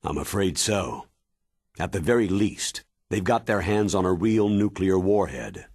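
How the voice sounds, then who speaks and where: An actor playing a grave, authoritative older military commander in a video game, husky and measured as he gives a serious briefing. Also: sits low, animated, darkish tone, dry recording, studio quality, full character voice. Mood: grave, matter-of-fact. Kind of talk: video game cutscene acting.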